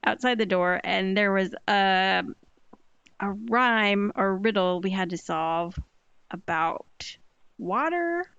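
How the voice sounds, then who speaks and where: A woman player chatting casually about a tabletop game, giggly and animated as she tells the story. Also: medium pitch, slow, clear, resonant tone, no room sound. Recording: dull lo-fi audio.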